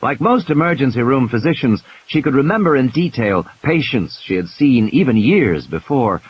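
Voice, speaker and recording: Expressive male audiobook narrator with animated pitch. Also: normal speed, husky, dull audio. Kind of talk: dramatic scripted narration. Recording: hissy, noisy background.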